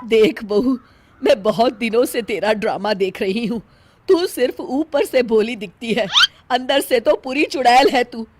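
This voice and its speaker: A woman playing an indignant older mother-in-law in an animated comic story, combative and sarcastic. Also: fairly high pitch, a little slow, background noise, close to an everyday voice. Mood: angry scolding.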